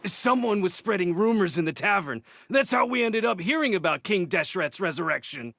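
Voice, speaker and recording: An actor playing a frightened, cowardly desert bandit in a video game, stammering and pleading. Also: male voice, sits high, animated, normal speed, vocal fry, a bit bright, exaggerated voice. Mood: nervous confessing.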